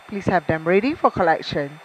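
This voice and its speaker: A woman's voice: on the low side, animated, brisk, a little hiss or hum, rough recording. A woman with a Malaysian accent reading scripted cabin announcements as a flight attendant.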